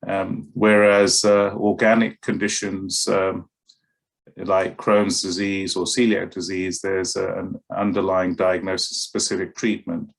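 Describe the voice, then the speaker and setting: A middle-aged man speaks in a fairly low voice. He answers interview questions hesitantly, pausing on fillers and restarting his sentences. He talks in a measured way as a paediatric doctor. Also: level tone, gravelly. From Kenya and West London.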